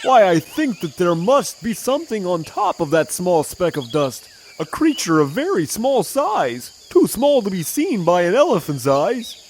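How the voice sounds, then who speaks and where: A performer playing a gentle, protective male elephant in a children's audio drama, sincere and pleading. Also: medium pitch, very animated, unhurried, a little hiss or hum. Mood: curious, caring. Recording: music behind the voice.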